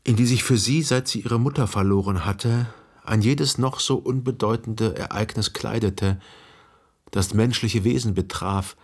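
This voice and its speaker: Thoughtful literary narrator guy in a radio play, lowish husky voice, measured. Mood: contemplative. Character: reflective literary narrator, a grown son remembering. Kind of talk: scripted narration in a radio drama.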